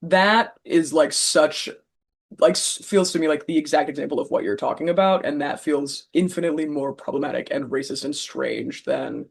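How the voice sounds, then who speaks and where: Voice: medium pitch, moderate pace, creaky, slightly rough. A panelist in a casual chat, wry and self-deprecating, laughing often.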